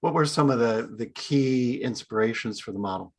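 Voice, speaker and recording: A man's voice: medium pitch, normal speed. Guy hosting a podcast, medium voice, friendly chatty questions. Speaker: podcast host. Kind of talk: casual interview hosting.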